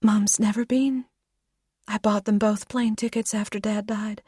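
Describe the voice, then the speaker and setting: A woman voicing a grieving adult daughter with an American accent, speaking softly and wearily, often half-whispered. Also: medium pitch, little pitch movement, measured pace, breathy edge, no room sound, studio quality, pitched above the performer's own voice. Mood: weary.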